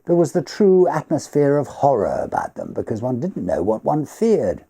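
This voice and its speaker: A man's voice: mid-range voice, wildly swooping pitch, normal speed, warm tone, slightly rough, voice pushed up, heavily acted. A man voicing a genteel party host in a storybook, confiding and faintly haunted, with emphatic, refined conversational speech. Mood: animated, musing. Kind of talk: storybook character dialogue.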